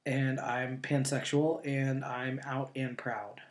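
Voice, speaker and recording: A man in his late twenties, co-hosting a casual chat and talking in a relaxed way with many fillers and pauses. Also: medium pitch. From northeastern Ohio. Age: 20s. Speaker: co-host.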